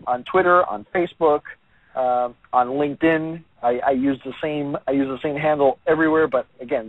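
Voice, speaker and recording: An American man talks with upbeat energy, like a guest on a radio interview. The sound is muffled and lacks highs, with a close, dry feel and no room around it. His pitch sits in an ordinary middle range. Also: no room sound. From Miami, United States. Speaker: entrepreneur and author, show guest.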